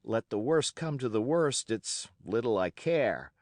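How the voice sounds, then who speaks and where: A man voicing a bold young cabin boy who speaks in an excited, defiant rush. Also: mid-range voice, a little slow, no room sound, clean studio sound, voice pushed up. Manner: breathless, rising, defiant pleading.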